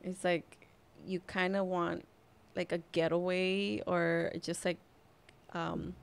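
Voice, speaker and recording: A young woman from Mexico whose first language is Spanish, a mother sharing her story as a podcast guest in a soft, hesitant way with frequent laughs. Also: fairly low pitch, flat delivery, slow pace, vocal fry, clean tone. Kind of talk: casual personal storytelling.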